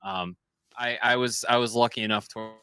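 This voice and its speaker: A man's voice: mid-range voice, little pitch movement, brisk, pushed and bright, smooth, no echo. A young man hosting a podcast, chatting loosely, swearing and laughing, wandering off on tangents. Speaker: podcast host. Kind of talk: casual podcast chat.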